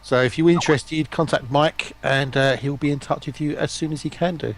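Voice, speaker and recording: A man's voice: fairly high pitch, steady tone, fairly quick. A man chatting casually as a guest on a stream. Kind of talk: casual chat. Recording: clear background noise on mic.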